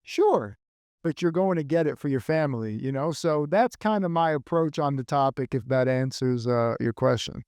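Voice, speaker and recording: A man being interviewed as a fitness coach, answering earnestly and thinking aloud in measured phrases. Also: fairly high pitch, expressive, darkish tone, clear, resonant tone, dry recording, clean studio sound.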